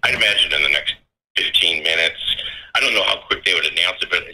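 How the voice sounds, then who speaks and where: A low-voiced man with a very sharp, forward tone gives an informal account of what he has heard. He talks haltingly, restarting and repeating phrases as he goes. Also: rough recording. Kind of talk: informal spoken account of scanner reports.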